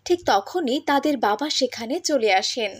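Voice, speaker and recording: A woman's voice: high-pitched, lively intonation, unhurried. A woman narrator reading a scripted story for a cartoon.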